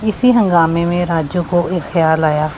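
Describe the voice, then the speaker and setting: A woman's voice: lowish voice, moderate pace, noisy background. A woman reading scripted children's story narration.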